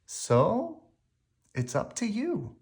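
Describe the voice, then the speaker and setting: A storybook reader voicing a sensible, reassuring mother bear who cautions gently. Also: mid-range voice, huge pitch swings, drawn-out words, voice pushed up, exaggerated voice. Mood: cautioning.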